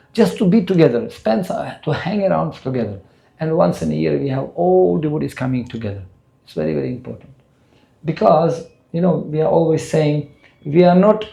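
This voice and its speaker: A man's voice: fairly high pitch, creaky, mellow. A man in his sixties from Russia, speaking English with a Russian accent, giving an earnest spiritual lecture to a temple audience. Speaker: spiritual teacher.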